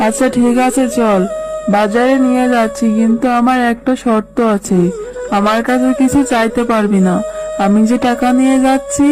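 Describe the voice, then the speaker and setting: High-voiced scolding village mother played by a woman. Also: fairly even intonation, normal speed, natural voice, poor audio. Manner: scolding, exasperated. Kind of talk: children's cartoon dubbing. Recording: background music under voice.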